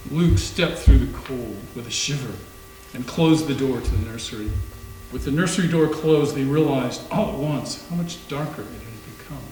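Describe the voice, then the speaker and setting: A man's voice: medium pitch, moderate pace, a little mellow. A man reading scripted story narration in a readers theater performance. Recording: echoey room with faint hum.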